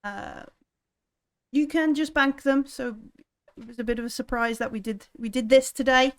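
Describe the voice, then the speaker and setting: A woman with a fairly high voice acts as game master at a tabletop roleplaying session. She talks in a casual, chatty way with the players. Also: normal speed, clean tone.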